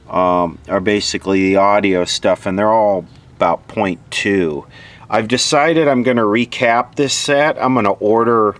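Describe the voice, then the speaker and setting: A man's voice: lowish voice, a bit bright. A man narrating a radio repair at his workbench in a casual way, explaining technical details as he thinks aloud.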